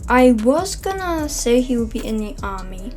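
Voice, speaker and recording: A woman's voice: on the high side, very animated, moderate pace, a little mellow, faint music behind. A young woman from Wales, chatting casually as a channel host, rambling with many fillers and self-corrections. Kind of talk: casual chatty vlog narration.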